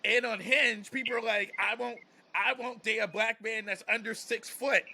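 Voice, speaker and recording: A man's voice: high-pitched, steady tone, moderate pace, gravelly, bright, forceful tone. An American man talking casually and with strong opinions on a podcast, emphatic and repeating phrases as he builds a point. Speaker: podcast host, comedian.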